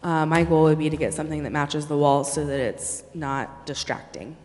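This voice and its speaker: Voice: mid-range voice, moderate pace, darkish tone. A city staff member answering questions at a public meeting, hesitant and apologetic, in an echoey meeting hall. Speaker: staff member presenting bids to a city council. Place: council chamber, indoors.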